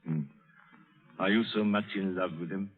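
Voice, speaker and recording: An actor playing a worldly, avuncular older English lord in a 1930s radio drama style. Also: male voice, deep voice, steady tone, moderate pace, natural voice. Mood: gentle, worldly. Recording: vintage radio broadcast, thin and tinny.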